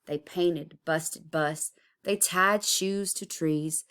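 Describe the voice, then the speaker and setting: A woman reading a children's picture book aloud expressively, with deliberate, dramatic emphasis. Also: low-pitched, fairly even intonation, a bit bright, clean tone. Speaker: storybook reader.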